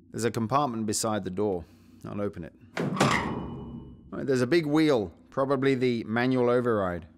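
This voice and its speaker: An actor playing a decisive, wry spaceship captain in an audio drama. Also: male voice, mid-range voice, lively intonation, moderate pace, pauses often, lightly acted. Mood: focused. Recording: faint noise behind the voice.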